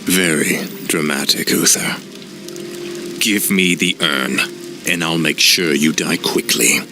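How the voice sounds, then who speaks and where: A man's voice: a bass voice, takes it slowly, over music, full character voice. A man playing an arrogant fallen prince turned death knight in a video game, brooding and menacing. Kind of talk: video game dramatic acting.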